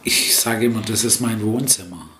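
A man's voice: on the low side, steady tone, normal speed, a bit bright. A middle-aged man whose first language is Italian talks through his working day as a festival-tent jester in loose, rambling sentences. He keeps correcting himself and tags his points with a questioning "ne?". The room around him sounds echoey, with a faint hum of background noise. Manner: rambling, lots of fillers and self-corrections, tag "ne?". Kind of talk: relaxed interview answers.

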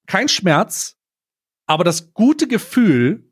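A man's voice: high-pitched, sing-song, slow, husky. A man telling anecdotes casually as a podcast co-host, blunt and slangy, dropping in bits of English.

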